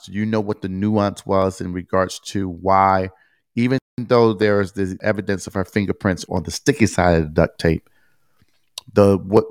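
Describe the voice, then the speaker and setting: Man, podcast co-host, very low voice, blunt and plain-spoken, reads legal text aloud, dry close recording. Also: a little mellow, no echo. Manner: explains a court ruling at length, reads passages aloud, quizzes his co-host; blunt, with casual swearing. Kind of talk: casual legal discussion.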